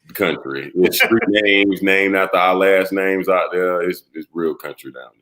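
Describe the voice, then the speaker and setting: Deep-voiced man in his thirties. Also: a little slow. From South Carolina. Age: 30s. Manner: relaxed, talks openly, breaks into laughs. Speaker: guest. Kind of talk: casual interview.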